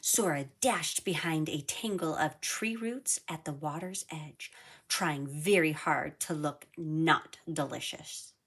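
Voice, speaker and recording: A woman narrating a children's storybook in a deliberate, animated read-aloud style. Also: sits low, slightly rough. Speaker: storybook reader.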